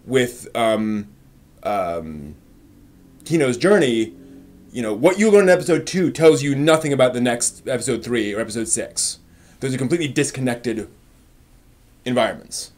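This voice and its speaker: A man hosting a casual live stream, replying to viewer comments with thinking pauses and firm, emphatic opinions. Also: medium pitch, animated.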